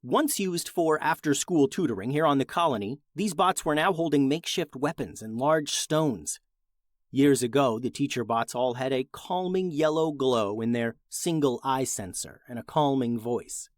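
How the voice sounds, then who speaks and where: A man's voice: mid-range voice, expressive, normal speed, no echo, studio quality. A man hosting a children's story podcast, reading scripted narration.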